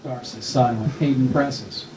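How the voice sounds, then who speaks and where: A man's voice: mid-range voice, a little slow, darkish tone. A man reading scripted stage directions as narrator at a screenplay table read. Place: live film festival table read. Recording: echoey room, faint noise.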